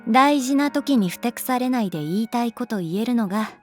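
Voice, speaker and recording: An actress playing a calm, guarded young schoolteacher in a Japanese audio drama, speaking softly and frankly. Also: female voice, a little high, faint music behind, close to an everyday voice. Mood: wistful, regretful. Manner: unhurried, soft-spoken, frank. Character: adult female homeroom teacher, calm, guarded, gently detached. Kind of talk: Japanese anime-style audio drama acting.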